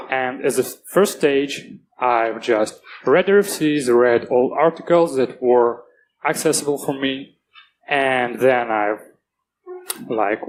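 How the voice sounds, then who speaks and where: A man with a Russian accent giving a technical security talk on a conference stage, earnest and plain-spoken, with halting restarts. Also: medium pitch, a little hiss or hum, rough recording. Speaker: conference speaker.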